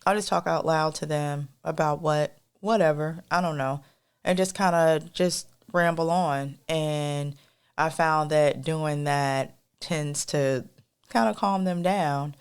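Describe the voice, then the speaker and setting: A woman co-hosting a podcast in a casual, rambling monologue with a soft, melodic voice, talking straight to listeners as y'all. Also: low voice, slow pace, smooth. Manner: rambling, addresses listeners as y'all, many fillers. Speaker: podcast co-host.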